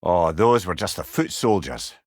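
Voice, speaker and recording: A man's voice: low voice, expressive, measured pace, darkish tone, no room sound, clean studio sound. An actor playing a wise, witty old Scottish man, an avuncular storytelling companion in a video game, speaking in an articulate, wry way. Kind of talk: scripted video game voice lines.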